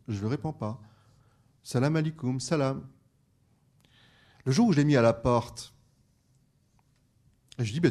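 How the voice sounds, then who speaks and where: A man's voice: medium pitch, vocal fry, warm tone. A man, a French teacher bilingual in French and Arabic, speaking on a discussion panel with frequent restarts and fillers. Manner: hesitant, many restarts.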